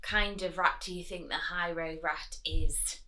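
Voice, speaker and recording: A woman reading a storybook aloud to children, expressively, pausing to chat and put questions to her young listeners. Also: on the low side, steady tone, sharp tone, a little hiss or hum.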